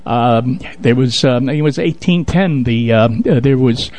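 A man chatting casually as a radio co-host, with a halting, talkative and promotional manner. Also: medium pitch, darkish tone, dry recording. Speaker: radio show co-host and local theater director.

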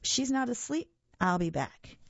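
A woman voicing a gruff, boastful male monster with a growling, menacing delivery in a children's story. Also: on the high side, very animated, normal speed, no room sound, lo-fi sound, pitched above the performer's own voice, exaggerated voice. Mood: mocking.

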